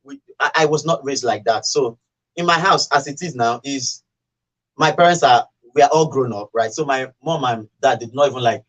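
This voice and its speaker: A man's voice: medium pitch, moderate pace, poor audio. A man with a Nigerian accent in a casual, opinionated radio discussion, telling stories and acting out what people say. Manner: animated storytelling, acting out quoted remarks. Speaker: radio talk show speaker.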